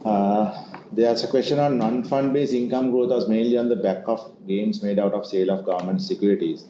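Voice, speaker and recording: A man's voice: lowish voice, fairly even intonation, a little fast. A man answering investor questions as an executive leading an earnings call, in a semi-formal way. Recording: muffled, echoey room with noise.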